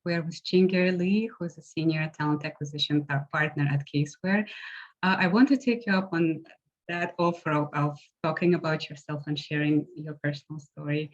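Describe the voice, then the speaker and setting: Welcoming woman host, lower voice, dull video-call sound. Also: steady tone, normal speed. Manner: welcoming, introduces guest. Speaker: webinar host. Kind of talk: hosting a webinar.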